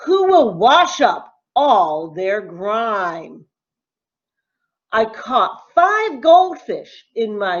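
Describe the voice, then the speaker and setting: A woman teacher reading a rhyming picture book aloud to children in a deliberate, expressive, sing-song way. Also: on the high side, highs cut off. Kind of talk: expressive storybook read-aloud in rhyme.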